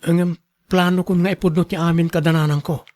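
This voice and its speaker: A man's voice: a little high, little pitch movement, fast, darkish tone, natural voice. A man with a Filipino accent playing an earnest, apologetic young student in a radio drama. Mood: concerned, apologetic. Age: young adult.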